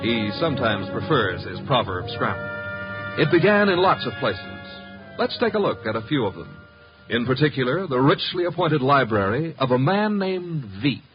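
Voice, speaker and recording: A man's voice: mid-range voice, big pitch swings, normal speed. A man with an American accent announcing a scripted 1950s radio show in a polished, theatrical manner. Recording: dull vintage radio recording with music.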